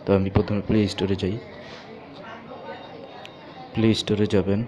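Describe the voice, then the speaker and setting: A man's voice: lowish voice, level tone, normal speed, a little mellow. A man with a Bangladeshi accent presenting an informal step-by-step app tutorial, repeating himself as he demonstrates.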